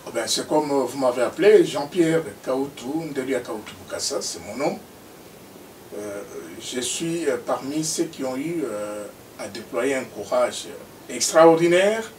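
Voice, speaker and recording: An older man from Congo, a veteran politician answering interview questions with hesitant pauses and fillers. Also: medium pitch, a little mellow. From Congo (DRC). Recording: echoey room, mic far off, faint noise.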